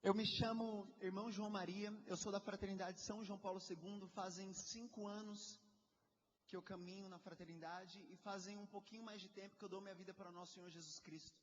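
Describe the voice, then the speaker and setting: A man's voice: sits high, level tone, brisk, a little hiss or hum. Fervent young man preaching in a reverberant hall. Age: 20s. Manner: fervent, urges the crowd with repeated calls. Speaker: lay preacher. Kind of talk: charismatic prayer group preaching. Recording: dull lo-fi sound with long hall reverb.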